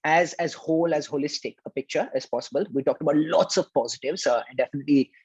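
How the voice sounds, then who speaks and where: Fast-talking man hosting, husky dull line. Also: a little high, no echo. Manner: affirming, frames long structured questions. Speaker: interview host. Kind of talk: conversational interview hosting.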